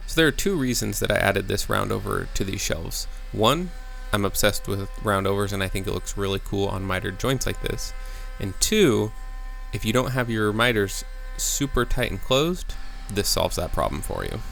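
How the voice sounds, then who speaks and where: A man's voice: on the low side, fairly bright tone, slight background noise. A man giving a casual, plain-spoken how-to voice-over, explaining step by step as a maker hosting his own video. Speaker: woodworking maker and host.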